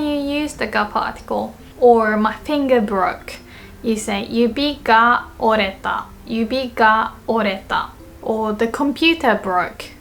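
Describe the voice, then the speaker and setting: A woman's voice: fairly high pitch, a bit bright. A young woman in her twenties teaching a language lesson, patiently explaining and repeating each example sentence twice. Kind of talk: video lesson. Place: small indoor room with bookshelves.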